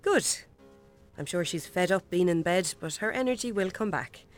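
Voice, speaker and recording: An actress playing a friendly Irish visiting nurse in a radio drama, reassuring and gently probing, with a lightly teasing manner. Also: female voice, on the low side, animated, normal speed, soft background music, close to an everyday voice. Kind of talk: naturalistic radio drama acting.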